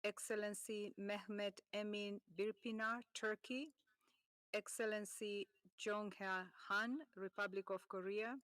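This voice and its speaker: A woman's voice: mid-range voice, flat delivery, a little slow, fairly bright tone, no echo. A woman moderating a formal online dialogue, formally calling on speakers and reading out a list of names and countries. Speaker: session moderator. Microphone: remote meeting mic.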